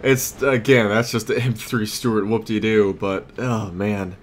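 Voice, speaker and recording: A man's voice: on the low side, steady tone, a little slow. A man giving casual gameplay commentary as a let's play host, muttering and exclaiming as he plays. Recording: clear background noise, game ambience.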